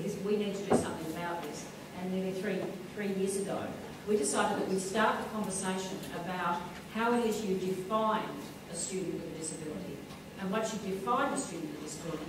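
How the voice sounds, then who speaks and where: A woman on a forum panel, speaking earnestly in a somewhat low voice. Her voice is picked up from far off in a large echoing hall, with noticeable room noise around it. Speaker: panelist education leader. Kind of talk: public forum answer. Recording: echoey hall, mic far off, noisy.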